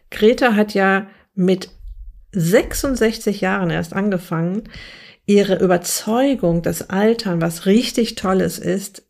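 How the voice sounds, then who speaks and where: A woman's voice: medium pitch, creaky, darkish tone. A woman, hosting a podcast and interviewing a guest in an upbeat, chatty way. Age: 50s. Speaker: podcast host.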